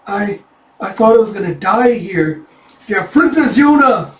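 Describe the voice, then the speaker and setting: A man acts out a tearful young manga princess in a raised, high voice. She sounds lonely and overwrought, pleading from a little far off in an echoey room. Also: animated, measured pace. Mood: tearful, relieved.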